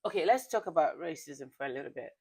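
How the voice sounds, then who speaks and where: Slightly low-pitched woman hosting a chat. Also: animated, fast, vocal fry, darkish tone, breathy edge. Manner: informal, echoes and affirms the guest's words. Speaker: talk show host. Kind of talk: casual, candid interview chat.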